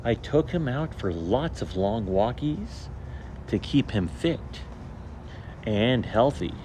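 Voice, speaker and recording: A male storyteller reads a children's picture book with slow, deliberate phrasing. His pitch moves in lively, theatrical swings. Steady noise sits behind his voice. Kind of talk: expressive picture-book read-aloud for children.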